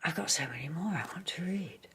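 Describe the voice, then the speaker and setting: A woman reading poems aloud in a hushed, soothing whisper, as in ASMR. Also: lowish voice, animated, a little fast, slight background noise. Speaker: reader.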